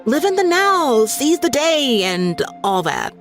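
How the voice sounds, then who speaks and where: An actress playing a brash young woman in a game, snappy and quick to scoff. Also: sits high, sing-song, heavily acted. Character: young woman, brash and proud of her land's heroes. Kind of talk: game voice acting. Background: game music.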